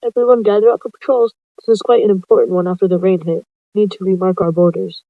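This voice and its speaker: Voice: medium pitch, fairly even intonation, fast talker, no room sound, phone-quality sound, lightly acted. A storyteller voicing a chatty, businesslike male cat deputy who hands out patrols in a roleplay story.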